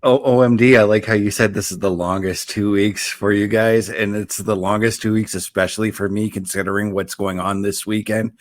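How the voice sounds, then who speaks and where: Low-voiced man co-hosting a podcast. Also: fairly even intonation, no echo, clean studio sound. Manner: brisk, with fillers, reading out news. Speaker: podcast co-host. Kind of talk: casual podcast chat and news rundown.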